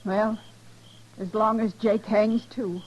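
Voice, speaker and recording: A vengeful, grimly resolute widow of the Old West. Her voice sits at a middle pitch, in 1950s drama style, on a dull lo-fi recording. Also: steady tone, slow, darkish tone. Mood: resigned. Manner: defiant, grimly resigned. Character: bitter, vengeful frontier widow, resolute killer. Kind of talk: 1950s radio drama acting.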